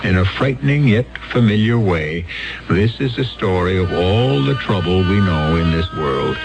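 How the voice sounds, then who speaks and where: A middle-aged man hosting and narrating a radio drama in grave, measured, rhetorical tones. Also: low-pitched, animated, vocal fry, soft background music. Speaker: host and narrator.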